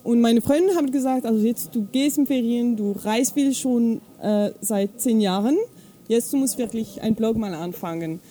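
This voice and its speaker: A woman with a Swiss French accent, a native French speaker, chatting as an interview guest and often pausing to search for words. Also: fairly high pitch, dark tone, a little hiss or hum. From French-speaking Switzerland. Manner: halting, searches for words, many fillers. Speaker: guest, travel blogger. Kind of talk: casual interview chat. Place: outdoors at an evening camp.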